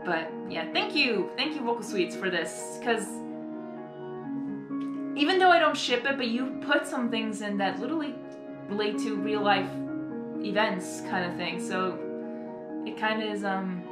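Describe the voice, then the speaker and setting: A woman's voice: medium pitch, expressive. Young woman rambling in an echoey room. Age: young adult. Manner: earnest, halting, apologetic. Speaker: reaction video host. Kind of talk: casual, rambling live reaction commentary. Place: small bedroom, indoors. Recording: echoey room, music behind.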